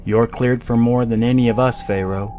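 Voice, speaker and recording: A man's voice: medium pitch, fairly even intonation, moderate pace, mellow, faint music behind, natural voice. A man playing a pessimistic, sardonic fighter pilot in a sci-fi audio drama, dry and grumbling.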